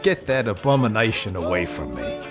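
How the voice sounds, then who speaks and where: Deep-voiced, gruff space cowboy. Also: male voice, very animated, moderate pace, music in the background. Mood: wary disgust. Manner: laconic, ornate old-time Western phrasing. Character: proud, gruff, hard-bargaining male space cowboy gunslinger for hire. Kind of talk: audio drama acting.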